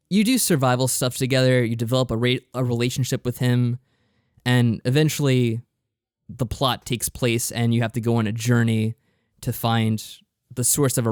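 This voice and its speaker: A man's voice: medium pitch, darkish tone, dry recording. Man, podcast co-host, casual review chat, matter-of-fact, explanatory, dry sound.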